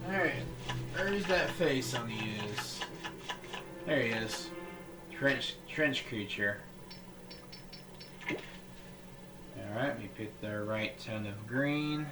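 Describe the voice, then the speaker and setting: A man's voice: medium pitch, extremely slow, pauses often. A man hosting a casual gaming video, thinking aloud as he builds a character and often restarting his sentences. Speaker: gaming channel host. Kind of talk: casual let's-play commentary. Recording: echoey room, voice far from mic, game music behind. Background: game music.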